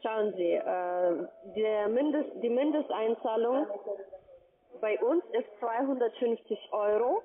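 High-voiced woman on a phone line. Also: slow pace, crowd noise. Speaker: telephone sales caller. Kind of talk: phone sales pitch. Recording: phone line, narrow band.

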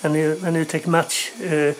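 A man in his forties chatting on camera in a small wooden mountain shelter, speaking haltingly with many pauses and restarts. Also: on the high side, level tone, a little hiss or hum, poor audio. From Sweden. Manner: hesitant, many uhs and restarts, non-native English. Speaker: hiking vlogger interviewing a fellow hiker. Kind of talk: casual on-camera chat.

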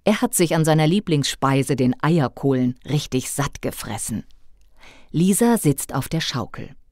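Female storyteller for children's audio play, low voice, dry close sound. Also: expressive, measured pace, a little mellow, no room sound. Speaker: narrator.

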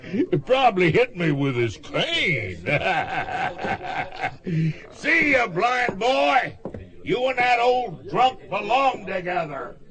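An actor playing a mocking, drawling villain in a radio western, bullying and jeering. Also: male voice, high-pitched, sing-song, drawn-out words, music in the background, exaggerated voice. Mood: gleeful mockery.

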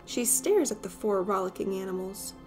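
A woman reading a children's picture book aloud as an expressive storyteller. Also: medium pitch, normal speed, faint music behind.

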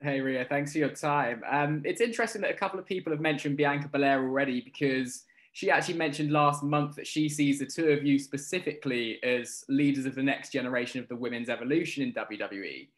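A man asks questions as a sports journalist. His pitch is average for a man, and his phrasing is polite and articulate. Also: a little fast. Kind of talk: press-call questioning.

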